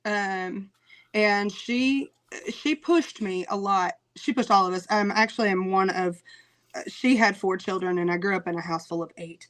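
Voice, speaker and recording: A woman's voice: medium pitch, fairly bright tone. Laughing, chatty woman singer. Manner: chatty, laughs often, many "um" pauses. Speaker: singer, interview guest. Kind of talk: casual interview chat.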